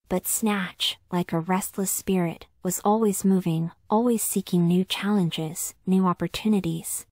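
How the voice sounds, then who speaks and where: A woman narrating a scripted ASMR story in a soft, whispered delivery. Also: on the low side, dry recording, clean studio sound.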